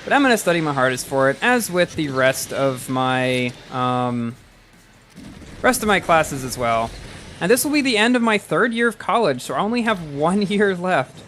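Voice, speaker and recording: A man's voice: a little high, very animated, moderate pace, a bit bright, a little hiss or hum. A man in his early twenties chatting casually while livestreaming a game and reading chat, rambling and often repeating words as he restarts. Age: early 20s. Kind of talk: casual live-stream chat.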